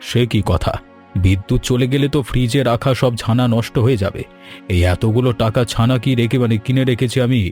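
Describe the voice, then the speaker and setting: A man's voice: mid-range voice, brisk, darkish tone, faint music behind, close to an everyday voice. A man voicing a worried, gloomy middle-aged village sweet-shop owner, lamenting in a cartoon story. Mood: anxious dismay. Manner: fretful, lamenting.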